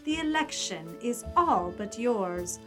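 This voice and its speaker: A woman's voice: mid-range voice, expressive, slow, a bit bright, faint music behind. A woman playing a haughty, scheming middle-aged mother, a cold villainess in a 1930s suspense radio drama. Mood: coldly conspiratorial.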